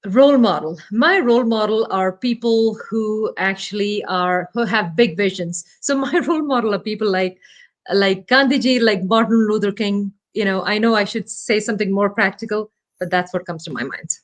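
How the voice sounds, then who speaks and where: A woman whose first language is Malayalam, speaking as an interview guest from her home over a remote call. She talks thoughtfully and modestly, pausing and restarting with fillers as she searches for the right words. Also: mid-range voice. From India. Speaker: guest, startup CEO. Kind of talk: casual interview talk.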